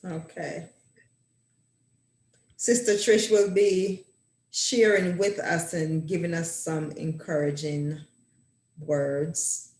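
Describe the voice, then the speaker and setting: A woman hosting a talk show, giving formal, scripted introductions. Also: low-pitched. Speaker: host. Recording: echoey room.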